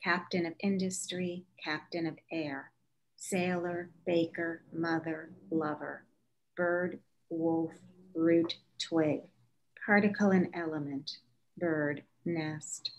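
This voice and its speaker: A woman's voice: deep voice, steady tone, takes it slowly, muffled recording, slight background noise. A woman giving a scripted literary reading of lyrical prose poetry. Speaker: author reading aloud.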